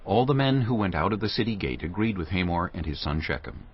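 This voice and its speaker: A man's voice: low voice, lively intonation, brisk, breathy edge. A man narrating scripture from a script in a solemn storytelling manner. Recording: muffled audio under music. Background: music.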